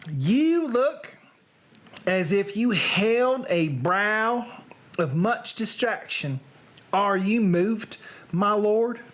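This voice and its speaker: A reader acting out a fond, musing nobleman from a Shakespeare play, with a Southern American drawl. The pitch sits mid-range and the verse comes very deliberately, each phrase stretched out theatrically. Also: animated. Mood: questioning. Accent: Southern American, put on. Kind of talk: dramatic reading of Shakespeare verse.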